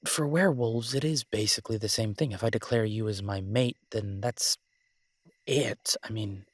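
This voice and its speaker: Low, husky, tender werewolf boyfriend played by a man. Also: moderate pace, dry recording, studio quality. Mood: earnest, devoted. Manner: hesitant, tender, conversational, lightly teasing. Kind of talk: romantic roleplay audio, intimate casual conversation.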